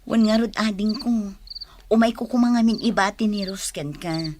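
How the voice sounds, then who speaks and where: A woman's voice: mid-range voice, moderate pace, noisy background, close to an everyday voice. A woman with an Ilocano Filipino accent playing an anxious, earnest young woman in love in a radio drama. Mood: worried.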